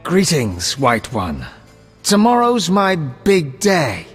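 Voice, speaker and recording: An actor voicing a courteous, wry elf prisoner in a video game, languid and wistful. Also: male voice, fairly high pitch, huge pitch swings, slow pace, faint music behind, exaggerated voice.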